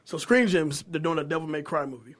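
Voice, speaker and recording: A middle-aged American man hosting a casual podcast, telling stories in an animated, slangy, profane way. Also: on the high side, brisk. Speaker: podcast host.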